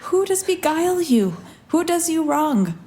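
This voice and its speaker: A woman's voice: extremely high pitch, big pitch swings, a little slow, mellow, full character voice. An actress playing an earnest, devoted young page disguised as a boy, in sincere Shakespearean audio drama style. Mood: bewildered. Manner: sincere, theatrical.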